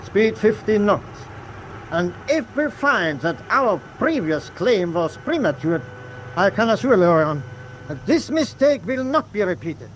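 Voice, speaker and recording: Stern, formal German U-boat captain. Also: male voice, high voice, very animated, measured pace, rough recording. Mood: brisk, resolute. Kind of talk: wartime radio drama acting. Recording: clear vessel noise behind voice.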